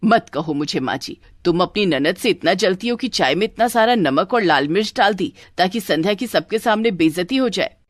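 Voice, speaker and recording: A woman's voice: on the low side, animated, moderate pace, hardly any pauses, no echo, studio quality. A woman voicing a stern, accusing middle-aged mother-in-law who scolds, in a cartoon. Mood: angry, accusing.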